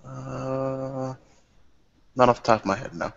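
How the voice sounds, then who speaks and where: A man's voice: mid-range voice, fairly even intonation, unhurried, vocal fry. A man in a tabletop roleplaying game who makes brief, dry in-character remarks. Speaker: tabletop player. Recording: muffled lo-fi recording.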